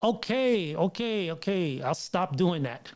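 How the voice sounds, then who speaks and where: New guy rambling on a livestream, lots of restarts, kinda highish mellow voice. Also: animated, slow. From United States. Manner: rambling, frequent restarts and asides to viewers. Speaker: livestream host. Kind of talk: casual live stream chat. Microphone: mixer setup with line noise.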